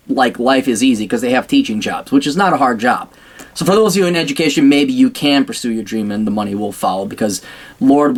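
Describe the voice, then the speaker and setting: Blunt, sarcastic man with a lively pitch. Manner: blunt, sarcastic, swears freely; rambling with frequent restarts. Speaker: vlogger giving blunt career advice. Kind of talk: casual monologue to camera.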